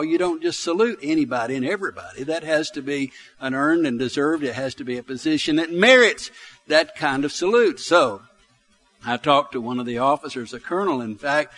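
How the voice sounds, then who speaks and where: A man's voice: on the high side, a bit bright. A man in his sixties with a Southern US accent, preaching a sermon in a folksy, conversational way built on personal stories. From Alabama, United States. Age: 60s. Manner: folksy, conversational storytelling with repeated phrases for emphasis. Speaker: pastor.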